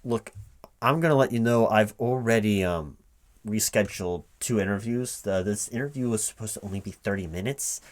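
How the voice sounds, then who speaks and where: A man speaking as a recruiting manager in a one-sided ASMR interview roleplay, questioning in a halting, puzzled way. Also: lowish voice. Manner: halting, puzzled questioning, checking back with "right?". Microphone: close microphone with typing sounds behind. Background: computer keyboard typing.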